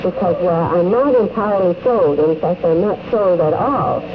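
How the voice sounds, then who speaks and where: A woman's voice: on the low side, lively intonation, moderate pace. A woman from New York State giving frank, hesitant, unscripted answers in an interview at a convention. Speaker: convention attendee being interviewed. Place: convention in the countryside. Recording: dull, very noisy 1950s radio recording.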